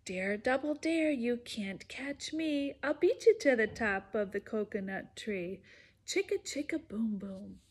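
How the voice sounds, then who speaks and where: Cheeky letter figure, taunting, high voice, slow sing-song, as a woman reads it. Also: lively intonation, slightly rough. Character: cheeky little letter A, boastful and daring.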